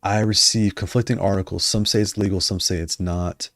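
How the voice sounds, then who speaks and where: A man with a very low voice talks loosely on a livestream, starting sentences over and wandering between points. His voice is wearing hoarse, and the sound is close and dry with no room around it. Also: steady tone, brisk, fairly bright tone, dry recording. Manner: rambling, self-correcting, conversational. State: losing his voice. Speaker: streamer discussing controllers. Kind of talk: casual livestream chat.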